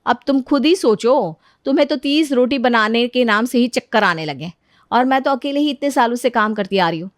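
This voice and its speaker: A woman voicing a weary, practical elder sister-in-law in an animated family story, wry and complaining. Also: fairly high pitch, moderate pace, no echo, studio quality, pitched above the performer's own voice, lightly acted. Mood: defensive, sarcastic.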